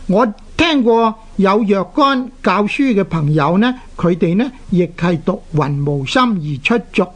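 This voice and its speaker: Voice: mid-range voice, lively intonation. Didactic radio teacher with Hong Kong Cantonese accent, sex unclear. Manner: didactic, deliberate, short phrases with drawn-out particles. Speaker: radio language teacher and presenter. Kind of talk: explanatory radio lecture on Chinese characters.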